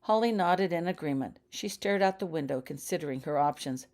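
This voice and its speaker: A woman with a low voice narrates a story as an audiobook. She reads scripted prose as the author of the tale. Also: lively intonation, fairly quick, a little mellow. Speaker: author reading own fiction.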